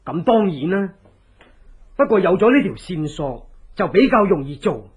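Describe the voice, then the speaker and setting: An actor with a Hong Kong Cantonese accent plays an earnest, loyal, scheming advisor to a fugitive in a theatrical horror radio drama. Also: male voice, high voice, sing-song, narrow, tinny audio. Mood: earnest, confiding. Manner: deliberate, theatrical, persuasive. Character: loyal scheming male resistance ally, earnest, deliberate advisor.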